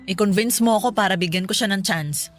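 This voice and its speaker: A woman plays a sassy young Filipina in a radio drama, doubtful and teasing. Her voice sits at an ordinary middle pitch, with light music underneath. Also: brisk, close to an everyday voice. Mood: skeptical. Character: sassy, skeptical young female church friend.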